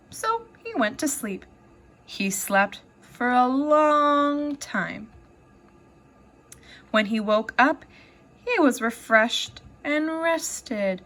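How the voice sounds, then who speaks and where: Female teacher, storybook read-aloud, higher-pitched, very unhurried, deliberate and expressive. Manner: expressive, deliberate, pausing to ask children questions. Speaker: elementary teacher reading aloud.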